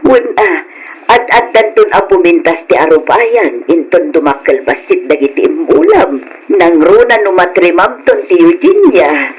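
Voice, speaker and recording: An actor playing an affectionate older parent in a radio drama, chatting fondly with an Ilocano accent. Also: male voice, high voice, very animated, unhurried, breathy edge, full character voice, rough recording. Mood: cheerful, doting. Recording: old radio drama, faint noise.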